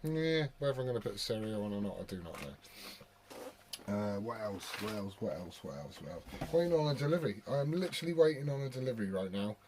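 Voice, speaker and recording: A man's voice: fairly low pitch, sing-song, fast talker, a little mellow, slight background noise. A British man talking in an informal, sweary, rambling way, switching between casual chat and CB radio calls. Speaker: CB radio operator and vlogger.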